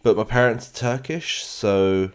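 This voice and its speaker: A man's voice: mid-range voice, unhurried, smooth. A man with a British London accent giving casual, rambling game commentary as a streamer and chatting with viewers as he plays. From London, England. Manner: chatty, rambling, answering viewers while playing. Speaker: gaming streamer.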